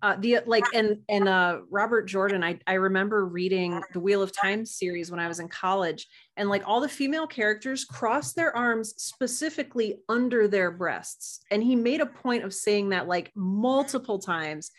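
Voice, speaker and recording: A woman's voice: mid-range voice, normal speed, few pauses. A woman with a mid-range voice, talking as a guest on a podcast. She chats informally and thinks aloud, feeling her way through each sentence. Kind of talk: casual chat.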